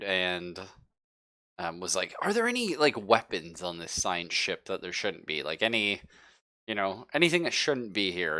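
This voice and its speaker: Male game master, low register, informal and jokey, casually narrating a sci-fi horror tabletop session. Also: bright, forceful tone, no echo.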